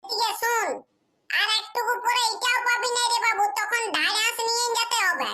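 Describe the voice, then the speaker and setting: A childlike cartoon sidekick with an extremely high, sharp squeak. The character speaks in a bossy, chiding village manner. The accent is Purulia Bengali. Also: moderate pace, a little hiss or hum, exaggerated voice. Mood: urging. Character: bossy childlike cartoon villager friend giving brisk advice. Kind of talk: comedy cartoon dialogue.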